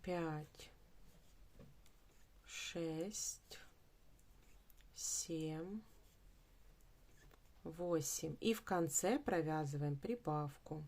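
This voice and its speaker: A woman's voice: low-pitched, drawn-out words, pauses often, a little mellow. A woman hosting a craft tutorial, explaining each step in a methodical way. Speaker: crochet tutorial host.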